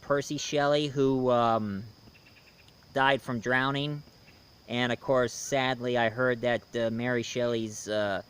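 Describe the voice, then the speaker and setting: A man giving a casual, conversational review commentary with history asides, speaking informally and haltingly as he goes. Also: medium pitch, a bit bright, clean tone. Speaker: video reviewer.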